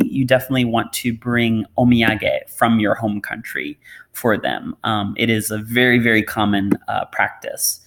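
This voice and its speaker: A man who is a native English speaker gives an informal, unscripted pronunciation lesson, repeating words and adding explanatory asides. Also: medium pitch. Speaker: language teacher.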